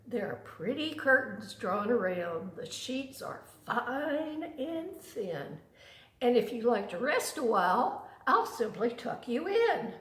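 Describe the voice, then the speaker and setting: Storybook spider, male and cunning, played by a woman: sugary flattery, slow and very sing-song, roomy sound. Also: fairly high pitch. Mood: coaxing, inviting. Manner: coaxing, sugary, drawn-out phrasing. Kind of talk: storybook poem read aloud.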